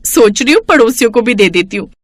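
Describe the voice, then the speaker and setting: A haughty rich young daughter-in-law played by a woman storyteller, boastful and taunting. Her voice sits high and swings with lively, showy emphasis in a moral-story style. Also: fast talker, no room sound, studio quality.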